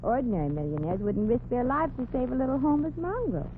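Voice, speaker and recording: A brassy, quick-witted young dame, played by an actress in an old radio comedy, tossing off slangy wisecracks with a lively, bouncing pitch. The broadcast sounds thin and tinny, with steady hiss underneath. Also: female voice, close to an everyday voice. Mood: wisecracking. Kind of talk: 1940s radio comedy acting.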